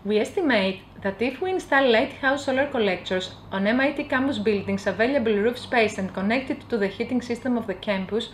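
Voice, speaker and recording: A young woman from Greece, giving an earnest, upbeat scripted promotional pitch. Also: brisk, few pauses, a little hiss or hum. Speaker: company presenter. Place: indoor office with bookshelves.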